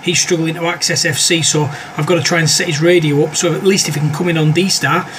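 A British man with a fairly high voice chats casually as a ham radio operator. Faint noise sits behind him in the recording. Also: steady tone, fast talker.

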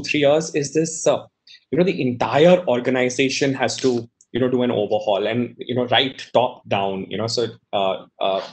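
A man's voice: medium pitch, poor audio. A middle-aged man speaking as a media executive on a video-call panel, conversational with frequent "you know". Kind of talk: casual panel discussion. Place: office room with world map on wall. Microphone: video call webcam.

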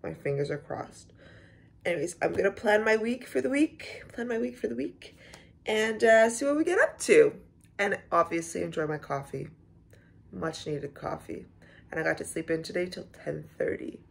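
A woman in her twenties with a slightly hoarse voice, chatting casually in a vlog with frequent asides, recorded on a phone indoors. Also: lowish voice, animated, moderate pace. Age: 20s. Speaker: vlogger. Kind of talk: casual vlog chat to camera. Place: small living room indoors, on a couch. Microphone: phone at arm's length.

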